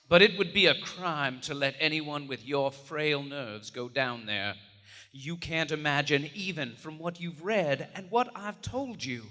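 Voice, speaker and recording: An actor playing a domineering, driven occult investigator, grave and condescending, in a theatrical horror radio play performed live. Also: male voice, a little high, bright, forceful tone. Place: on stage in a large theater. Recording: live theater hall reverb.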